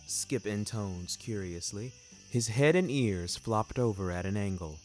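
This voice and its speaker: A man's voice: on the low side, unhurried, a little mellow, faint music behind. A young man reading scripted first-person fiction, carefully phrased, in a literary and dramatic manner. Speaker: narrator and author of a fiction podcast.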